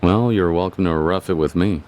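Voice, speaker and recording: A man's voice: sits low, moderate pace, darkish tone, natural voice. An actor playing a stoic, terse tough man in a video game dub, with an American accent. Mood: calm, weary. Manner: terse, restrained. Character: stoic, plain-spoken tough man in hiding.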